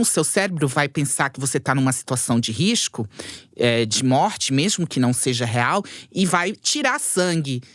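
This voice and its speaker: A man who is not a native speaker, a sexologist guest telling stories in an animated, jokey way in a casual podcast interview. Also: a little high, dry recording, clean studio sound. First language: not Portuguese.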